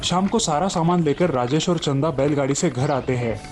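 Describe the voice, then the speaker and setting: Higher-voiced man narrating a children's story. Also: normal speed, a little mellow, faint music behind.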